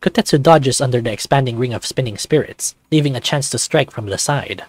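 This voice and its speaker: A man's voice: mid-range voice, a little fast, no echo. Brief: casual male YouTuber reading a game aloud, hesitant fillers, dry room. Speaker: YouTube visual novel reader.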